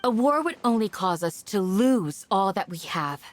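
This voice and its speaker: A woman voicing a dignified, firm desert village guardian in a video game, measured and authoritative. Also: medium pitch, big pitch swings, slight background noise, lightly acted. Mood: solemn warning.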